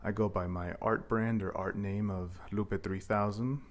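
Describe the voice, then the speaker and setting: A man's voice: fairly low pitch, fairly even intonation, unhurried. A man from Mexico, raised in Milwaukee, speaking as an artist in a reflective interview, earnest and wordy in his phrasing. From Cancun, Mexico, raised in Milwaukee. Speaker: live painter and visual artist. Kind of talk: reflective interview monologue about their work.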